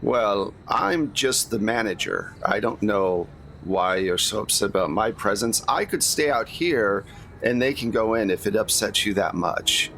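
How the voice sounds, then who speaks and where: A man's voice: fairly low pitch, expressive, a little slow, fairly bright tone, slight background noise. A man playing a stiff, self-justifying manager in a tabletop roleplay, with a dry, hesitant and literal manner. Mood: defensive.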